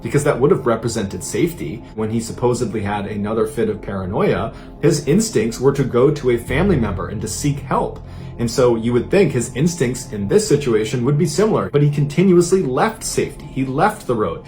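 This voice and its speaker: A man's voice: mid-range voice, lively intonation, fairly quick, a little mellow. A man with an American accent telling a true-crime mystery in an earnest, emphatic, suspenseful storytelling style. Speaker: storyteller host of a mystery series. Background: background music under the voice.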